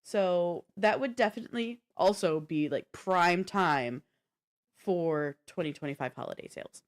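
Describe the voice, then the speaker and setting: A woman co-hosting a gaming news show, delivering items in a casual, animated, conversational way. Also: lowish voice, measured pace. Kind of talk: casual news segment with off-the-cuff chat.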